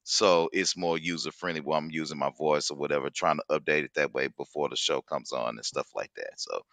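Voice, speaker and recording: A man's voice: a bass voice, fairly quick, fairly bright tone, no echo. A man hosting a casual podcast chat, talking in a loose, rambling way and swearing freely. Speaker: podcast host.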